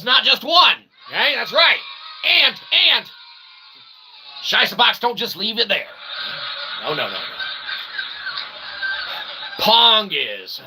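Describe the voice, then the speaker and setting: A man hosting his channel in a rambling promotional monologue, wordy and pseudo-technical, animated, often tagging his sentences with a check for agreement. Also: sits high, slight background noise, poor audio. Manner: wordy pseudo-technical talk with repeated tag questions. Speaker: channel host.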